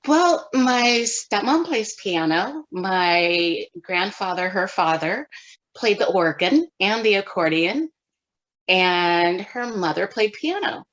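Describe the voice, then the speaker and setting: A middle-aged woman from California, a photographer answering interview questions in a thoughtful, measured way with pauses. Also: mid-range voice, animated, fairly bright tone, muffled recording. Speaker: guest photographer.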